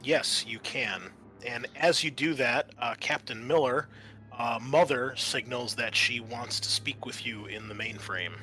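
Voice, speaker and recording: A man's voice: mid-range voice, unhurried, pushed and bright. A man narrating a tabletop game as game master in a conversational, explanatory way.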